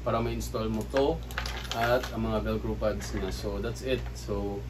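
A Filipino man with a fairly low voice reviews gear casually at home. He speaks haltingly, searching for words, and the phone recording carries faint noise. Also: level tone. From Philippines. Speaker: vlogger, product reviewer. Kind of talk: casual unboxing and review chat mixing languages. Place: small room at home. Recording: noisy phone or camera recording.

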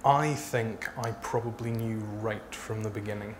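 A man in his thirties speaking haltingly as an author being interviewed on stage. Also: fairly low pitch, fairly even intonation. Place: small dark stage before a seated audience. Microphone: lapel mic. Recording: noisy live event room recording.

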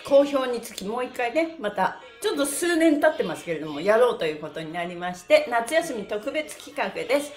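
A middle-aged woman leading a live exercise class in a cheerful, animated way, calling out cues and chants. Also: on the high side. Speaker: stretching instructor and pantomime performer. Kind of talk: live-streamed exercise lesson with chanted counts. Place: small studio room with bare walls and wooden floor. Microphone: device camera a few steps away. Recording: roomy echo, picked up from across room.

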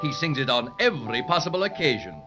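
An actor playing a vain, touchy patchwork dragon with a pompous, dithering manner in a 1930s radio play. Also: male voice, mid-range voice, very animated, fast talker, music in the background, lightly acted. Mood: sniffy. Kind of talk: 1930s children's radio acting.

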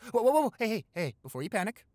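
A man's voice: sits high, very animated, fast talker, darkish tone, no echo, voice pushed up. Roleplay audio boyfriend, acted by a man: young American, college student, playful, reassuring, hesitant, very dry close sound. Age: young adult. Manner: teasing, reassuring, hesitant stammers.

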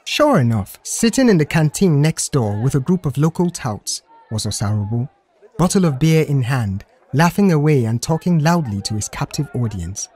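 A man's voice: medium pitch, sing-song, moderate pace, a little mellow, husky, soft background music, a faint crowd. A man narrating a scripted audio story.

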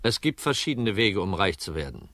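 Trockener, tiefstimmiger Detektiv im Krimihörspiel. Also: male voice, low voice, sing-song, a little fast, pushed and bright, heavily acted. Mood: dry, weary. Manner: dry, laconic, grumbling. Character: gruff, weary hard-boiled male insurance detective. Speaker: narrator and lead actor. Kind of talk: noir crime radio drama acting.

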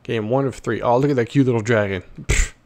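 A man's voice: mid-range voice, quick pace. A man giving casual, slangy gameplay commentary, cocky and playful with plenty of trash talk. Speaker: gaming video host.

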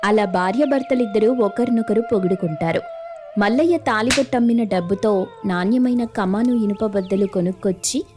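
A woman narrating a scripted animated moral story as the storyteller. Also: medium pitch. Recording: music under the voice.

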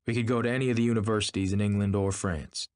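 A man's voice: lowish voice, steady tone, quick pace, a little mellow, no room sound, studio quality, close to an everyday voice. Man acting a polite, insistent, earnest suitor. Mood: polite, controlled. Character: polite, self-assured male suitor, earnest and intense. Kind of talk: audiobook character acting.

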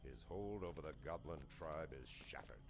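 A man's voice: very deep voice, expressive, normal speed, gravelly, heavily acted. A man playing a solemn dungeon narrator in a fantasy game, delivering scripted narration. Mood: solemn, ominous.

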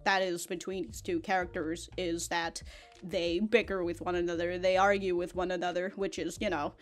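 A woman's voice: on the low side, little pitch movement, normal speed. Young woman rambling thru an anime review, lots of laughs and swearing, faint music under it. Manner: rambling, laughs often, swears, repeats words. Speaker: anime reaction host. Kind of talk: casual review chat. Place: small room with anime backdrop. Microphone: large foam-covered studio mic in front.

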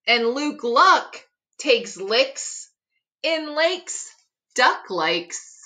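Middle-aged lady teacher reading kids rhymes out loud, bright voice, real slow and careful. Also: high voice, very animated. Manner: deliberate, careful tongue-twister delivery. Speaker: school teacher reading aloud. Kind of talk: expressive read-aloud of a rhyming children's picture book. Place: small room at home, indoors.

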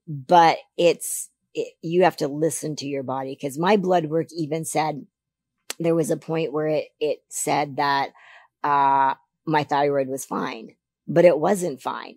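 A woman in her forties from Canada, a podcast guest, talking emphatically about health in a casual interview into a boom mic in a studio. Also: husky, no echo. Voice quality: deeper voice than she once had. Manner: emphatic, animated advice-giving. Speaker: podcast guest, figure competitor. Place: studio set on a sofa. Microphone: boom mic on a stand.

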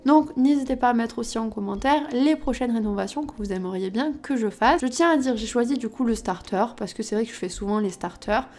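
Hoarse woman chatting while she builds. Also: fairly high pitch, a little fast, hardly any pauses, darkish tone. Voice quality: husky, cracked voice. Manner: chatty, thinking aloud with many fillers. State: hoarse from illness. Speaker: game builder YouTuber. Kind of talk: casual gameplay commentary.

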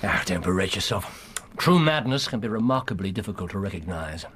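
An actor playing a shrewd, composed English gentleman detective in a Victorian radio drama, speaking with precise, measured authority. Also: low voice, very animated, husky, heavily acted. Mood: reflective, assured.

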